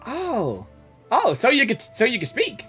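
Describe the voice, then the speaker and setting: A woman gaming streamer giving casual live reactions in an informal, halting, exclamatory way. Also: on the high side, huge pitch swings, a bit bright, faint music behind.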